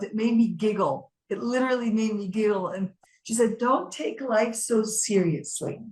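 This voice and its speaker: Woman, podcast co-host, animated, emphatic, casual chat, higher voice, echoey room. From Colombian American. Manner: animated, emphatic, quick bursts. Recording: roomy echo.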